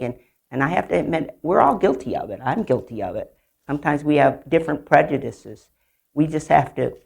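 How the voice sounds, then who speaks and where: A woman's voice: a bass voice, vocal fry, a little mellow. A woman on a real estate panel, speaking earnestly and candidly. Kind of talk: panel discussion, sharing a story.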